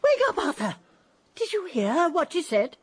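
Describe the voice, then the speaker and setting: Excitable, high-voiced English colonel's wife. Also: female voice, normal speed. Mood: urgent, alarmed. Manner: emphatic, fretful. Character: excitable, insistent upper-class English country colonel's wife. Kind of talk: radio drama acting.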